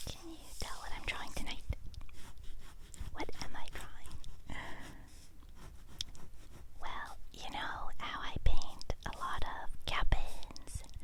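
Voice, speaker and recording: An American woman whispering gently and breathily in a soothing, unhurried ASMR style, as a host narrating and reading aloud. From Southern California, United States. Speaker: ASMR art channel host. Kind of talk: soft-spoken ASMR narration and poetry reading.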